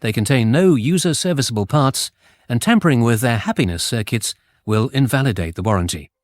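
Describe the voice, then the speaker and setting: A man giving a scripted, formal, deadpan radio announcement. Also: mid-range voice, fairly quick, no echo.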